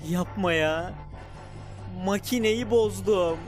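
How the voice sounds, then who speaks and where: A man voicing a playful, childish cartoon clone who is excitable and pleased with his silly schemes. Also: high-pitched, takes it slowly, over loud music. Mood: sheepish. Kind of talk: animated comedy dub in Turkish.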